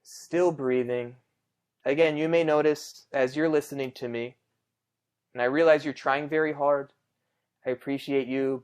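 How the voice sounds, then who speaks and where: Male meditation guide, medium pitch, smooth and clear. Conversational, reassuring, takes his time. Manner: gentle, reassuring, unhurried with long pauses.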